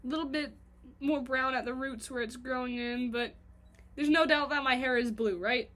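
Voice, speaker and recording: Young Canadian woman, high-pitched, casual self-mocking vlog ramble to camera. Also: steady tone, fairly bright tone. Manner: chatty and self-mocking, quick giggly asides. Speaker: vlogger.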